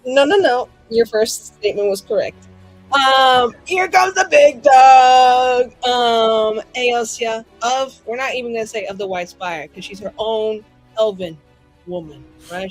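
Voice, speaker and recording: A woman's voice: medium pitch, poor audio. Exuberant sassy woman with music behind. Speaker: player in a tabletop roleplaying show. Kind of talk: casual character introduction.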